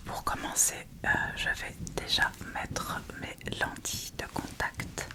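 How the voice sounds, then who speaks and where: A woman whispering a casual ASMR makeup tutorial in a breathy, unvoiced voice, speaking informally and a little hesitantly to the viewer. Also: a little slow, pushed and bright. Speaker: ASMR video creator and host.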